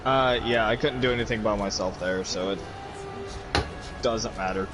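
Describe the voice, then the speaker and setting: A man's voice: lowish voice, moderate pace, music in the background. A man casually chatting and commentating on a video game as he streams. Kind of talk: casual chat and gameplay commentary while streaming. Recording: noisy mic with loud background noise.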